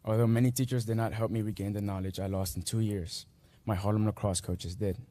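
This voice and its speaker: A late-teen young man whose first language is Spanish reads his graduation essay from a podium mic. His voice sits low and mellow, and the sound is close and dry. He speaks in earnest, measured phrases with small pauses. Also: flat delivery, dry recording. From Guatemala. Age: late teens. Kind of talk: reading a personal essay aloud at a graduation. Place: school commencement ceremony.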